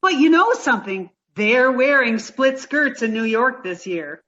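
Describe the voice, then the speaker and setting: A woman's voice: a little high, animated, a little slow, fairly bright tone, muffled recording. A woman playing a dim-witted, sweet, scatterbrained young woman in a comic old-time radio play, gushing and literal-minded. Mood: cheerful, naive. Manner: naive, scatterbrained, gushing, takes everything literally.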